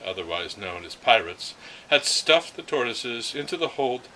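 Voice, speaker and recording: Man, storytelling read-aloud, very bright sharp tone, expressive, light background ambience. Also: medium pitch, normal speed, a little hiss or hum, poor audio. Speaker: reader of a story. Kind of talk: read-aloud first-person literary narration.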